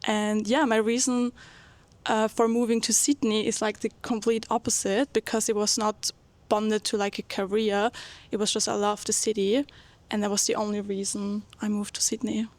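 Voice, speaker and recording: A woman's voice: mid-range voice, moderate pace, no echo. A young woman from Austria, chatting casually as a guest and telling stories with a laugh. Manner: lively storyteller, laughs often. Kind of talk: casual chat.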